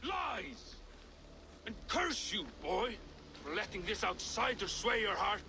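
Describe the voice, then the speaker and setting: An actor playing a spiteful, defiant old warrior in a video game, gruff and clipped in his speech. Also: high-pitched, very animated, takes it slowly, background noise, exaggerated voice. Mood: furious. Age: older. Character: spiteful aging Danish warrior accused of treachery. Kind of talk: dramatic video game acting.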